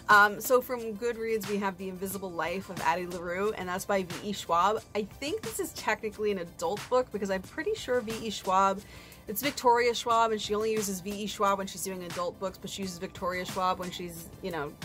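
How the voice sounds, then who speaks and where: A woman with a mid-pitched voice chats casually as a solo book review host. She speaks informally, tossing in slangy, self-deprecating asides. Faint music plays behind her. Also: a bit bright.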